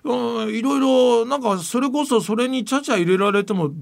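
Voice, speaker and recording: A man's voice: very high-pitched, fairly quick, vocal fry. An older man hosting a podcast, chatting casually and giving blunt, plain-spoken opinions. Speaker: podcast host. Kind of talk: casual radio chat.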